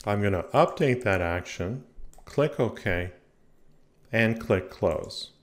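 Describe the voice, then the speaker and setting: A man with a fairly low voice narrates a screencast tutorial. He explains each step methodically, as a host guiding learners through software. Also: animated, slow. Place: indoor voice-over recording for a screen capture.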